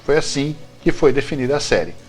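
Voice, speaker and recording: Low-pitched Brazilian man telling stories, faint music behind. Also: animated, brisk. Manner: repeats words and phrases, often checks with "né". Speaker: guest writer telling behind-the-scenes history of a TV series. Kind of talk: animated casual interview storytelling.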